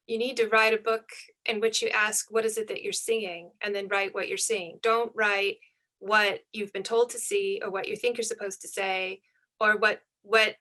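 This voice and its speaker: Woman author, bright tense tone, reflective and searching, on a video call. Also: mid-range voice. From United States. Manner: thoughtful, searching, frequent fillers and restarts. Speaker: author and panelist. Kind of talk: reflective conversation.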